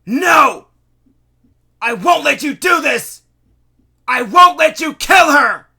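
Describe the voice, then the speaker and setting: A man voicing a tormented middle-aged male mayor who begs, sobs and shouts. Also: very high-pitched, lively intonation, extremely slow, pitched above the performer's own voice, full character voice. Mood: shouting. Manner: pleading, shouting, sobbing.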